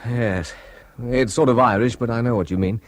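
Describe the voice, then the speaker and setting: An actor playing an anxious, politely persistent young British brother in a radio drama, hesitant and wry, with a husky voice. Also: male voice, mid-range voice, moderate pace, close to an everyday voice. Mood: worried, pressing. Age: young adult.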